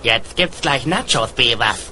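A man voicing a dull, crude, bossy teenage boy slacker in an animated comedy dub, with slow-witted, drawn-out hesitations and a dismissive manner. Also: mid-range voice, a loud crowd, close to an everyday voice.

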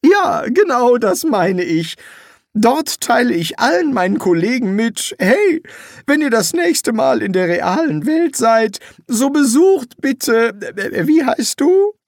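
A narrator voicing a nervous male wizard who bluffs as a demon, sarcastic and hesitant, with a strained high voice full of ums. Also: sing-song, unhurried, no echo, studio quality. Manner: sarcastic, evasive, pleading. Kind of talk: audiobook reading.

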